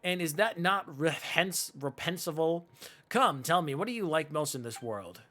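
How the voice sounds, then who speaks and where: Theatrical game shopkeeper, played by a streamer: mid-pitched, animated, pompous, mock-polite, declaiming every flourish. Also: moderate pace. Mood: grandiose, jovial. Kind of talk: reading video game dialogue aloud.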